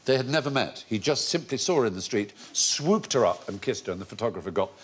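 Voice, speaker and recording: A middle-aged man hosting a comedy quiz panel, quick and animated and laughing often. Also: medium pitch, slightly rough. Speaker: quiz show host. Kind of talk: comedy panel show banter. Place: TV studio with live audience.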